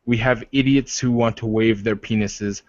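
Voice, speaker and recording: A man's voice: on the low side, fairly even intonation, moderate pace, dry recording, muffled recording, close to an everyday voice. A man playing a proud, wounded werewolf war leader in a tabletop roleplay, speaking formally and defensively. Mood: firm, defiant.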